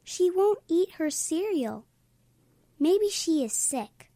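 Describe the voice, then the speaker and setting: A woman reading a storybook aloud and voicing a gentle little girl who mothers her teddy bear, in a soft, coaxing voice pitched above her narration. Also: sing-song, slow, dry recording, full character voice. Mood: pleading. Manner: soft, coaxing, higher and quieter than the narration.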